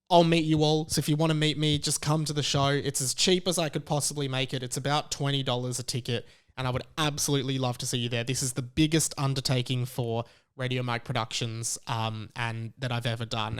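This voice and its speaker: Young man, Australian accent, mid-pitched resonant voice, casual podcast monologue, chatty and emphatic. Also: clean tone. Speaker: podcast host.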